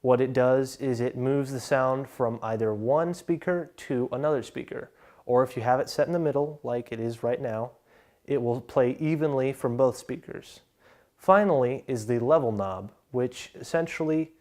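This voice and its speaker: A young adult man presenting an instructional tutorial, explaining step by step in a measured way. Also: mid-range voice, a little mellow. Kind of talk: instructional narration of an equipment tutorial.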